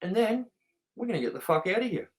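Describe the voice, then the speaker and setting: A man's voice: high-pitched, very animated, fast talker. A man hosting a casual film review chat, speaking in an informal, chatty way with some swearing. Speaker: podcast host and film reviewer.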